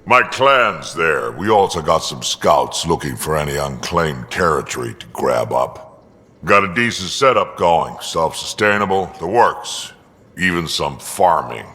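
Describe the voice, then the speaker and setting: An actor playing an ancient, gruff alien mercenary and proud grandfather in a video game, blunt, dry and salty, with a gravelly rumble. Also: animated, measured pace, pushed and bright, big-room sound, full character voice. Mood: gruff, wry. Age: older. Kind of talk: video game character dialogue.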